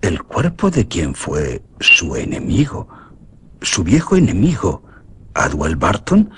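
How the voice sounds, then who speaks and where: An actor playing a sceptical, shrewd, insinuating doctor in a radio suspense drama. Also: male voice, low voice, huge pitch swings, slow pace, background noise, heavily acted. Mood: insinuating, ominous.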